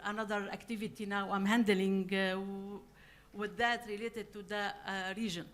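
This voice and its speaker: A woman from Syria with a Syrian Arabic accent giving a scientific conference presentation in halting non-native English. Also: a little high, pushed and bright. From Aleppo, Syria. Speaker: conference speaker, plant virologist.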